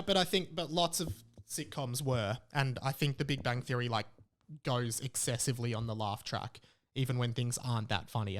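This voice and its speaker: A man's voice: medium pitch, fairly bright tone. An Australian man hosting a podcast in a casual, rambling way, reading out messages and breaking off for his own asides. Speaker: podcast host.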